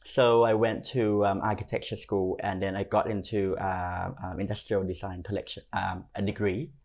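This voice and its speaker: Male podcast guest, Thai accent, lower-pitched, talking through personal anecdotes in a conversational way. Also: flat delivery, moderate pace, darkish tone. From Thailand. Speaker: podcast guest, fashion stylist and creative consultant. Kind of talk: conversational interview storytelling.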